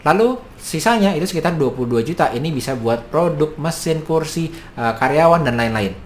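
A man in his thirties from Indonesia, a business coach explaining startup costs to camera in a small home studio, brisk and gesturing, with frequent fillers. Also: mid-range voice, slight background noise.

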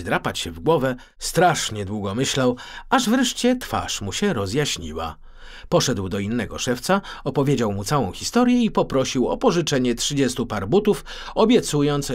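A man narrating a children's audiobook in an expressive, scripted storytelling style. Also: mid-range voice.

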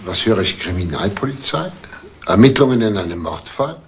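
An actor playing an indignant homeowner in a crime drama, speaking formally and haughtily with a slightly husky voice. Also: male voice, on the low side, sing-song, normal speed, a little hiss or hum. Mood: polite, guarded. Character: indignant, well-off married male homeowner under questioning. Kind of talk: German TV crime drama acting.

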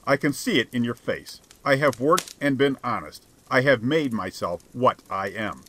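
A man voicing a prosperous, bullying householder in an audiobook, gruff, humorless and resentful. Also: medium pitch, expressive, moderate pace, bright, forceful tone, a little hiss or hum. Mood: self-righteous. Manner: blustering, snapping, humorless. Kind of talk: dramatized audiobook character.

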